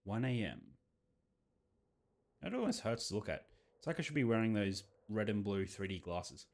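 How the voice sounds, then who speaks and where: A man's voice: fairly low pitch, sing-song, moderate pace, vocal fry. A man casually commentating on a game as he plays, thinking aloud and reading on-screen text. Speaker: game streamer. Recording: game music behind the voice.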